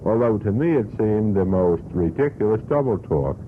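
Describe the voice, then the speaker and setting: A man with a lowish voice talks on a radio panel. He is formal and wordy, stating his opinions at length. The old recording is thin and narrow, with some noise underneath. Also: lively intonation, moderate pace. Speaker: radio panelist questioning a guest. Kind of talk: unscripted radio panel discussion. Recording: tinny, noisy vintage radio broadcast.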